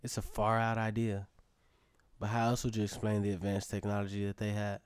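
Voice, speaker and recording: Man as curious low-voiced adventurer narrating. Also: completely flat delivery, brisk, smooth, dry recording, natural voice. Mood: intrigued, musing. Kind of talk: first-person scripted narration for audio drama.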